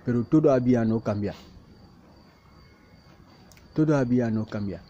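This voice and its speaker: A man speaking halting, repetitive second-language Spanish, insisting and defending himself in a self-recorded plea, outdoors in a field. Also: mid-range voice, mellow. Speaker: migrant speaking to camera. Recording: muffled with clear background noise and barking dog.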